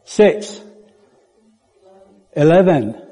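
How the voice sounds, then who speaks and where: A man's voice: a little high, animated, very slow, warm tone. A man born in Puerto Rico preaching as a guest speaker in a church, chatty and playful, putting questions to the congregation. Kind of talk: sermon. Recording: church hall with long reverb.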